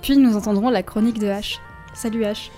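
A woman hosts a radio programme from the studio and reads a scripted chronicle in a clear, measured voice with a hint of drama. Her tone is mellow and warm, at a middle pitch, and a faint music bed plays under her. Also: steady tone. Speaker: radio show host.

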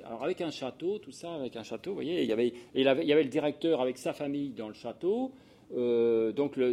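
A man's voice: mid-range voice, mellow. A man in his sixties from the Paris region giving an informal lecture with personal anecdotes, often breaking off, repeating words and circling back as he goes. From Paris region, France. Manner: hesitant, digressive delivery with self-interruptions and repeated words. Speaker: sociologist giving a talk to social work professionals.

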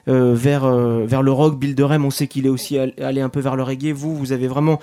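A man's voice: mid-range voice, flat delivery, a little fast, dark tone. A man hosting a radio show, asking long questions with frequent hesitations and restarts. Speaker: radio host. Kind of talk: radio interview.

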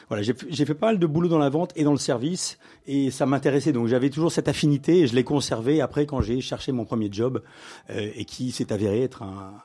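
A middle-aged man, a business owner, being interviewed and telling his career story in a relaxed conversational way, with frequent fillers and restarts. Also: on the low side. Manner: hesitant, many fillers and restarts, livens up when amused.

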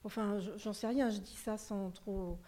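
A woman's voice: mid-range voice, fairly even intonation, moderate pace, warm tone. A woman in the audience putting a question to the author, tentative and searching for her words. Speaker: audience member asking a question. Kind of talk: question from the floor.

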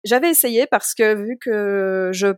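A woman in her thirties with a Belgian French accent, telling a story on a casual podcast. She sounds lively and laughs easily. Her voice sits at a middle pitch, and it is recorded close with no room sound at all. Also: a little slow, no room sound. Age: 30s. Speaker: podcast co-host.

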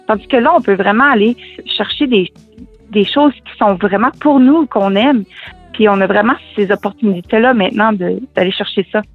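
A woman's voice: mid-range voice, moderate pace, breathy edge. A young woman from Saskatchewan with a Fransaskois French accent, a singer-songwriter answering interview questions earnestly and thoughtfully, slipping in English words. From Saskatchewan, Canada. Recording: tinny phone-like line, faint music.

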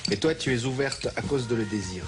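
A man's voice: medium pitch, brisk, heavy background noise, lightly acted. A man playing a stiff, suave lover with clumsy phrasing in a parody erotic television trailer. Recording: very loud music over archive clip. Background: loud music.

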